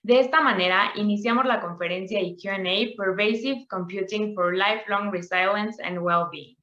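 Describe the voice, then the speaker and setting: A woman's voice: mid-range voice, moderate pace, a bit bright. A woman reading a formal scripted introduction as a conference presenter. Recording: echoey room, dull muffled audio.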